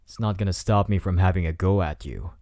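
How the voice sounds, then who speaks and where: Man playing a smug, low-voiced delinquent bad boy. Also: mellow, no echo, clean studio sound, natural voice. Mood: smug, teasing. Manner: taunting, smug, drawn-out teasing delivery. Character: cocky teasing teenage delinquent bad boy student. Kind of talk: ASMR roleplay.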